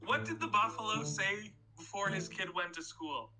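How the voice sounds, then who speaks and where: A man's voice: high voice, moderate pace, poor audio. A young man on a video chat, easygoing and swearing casually.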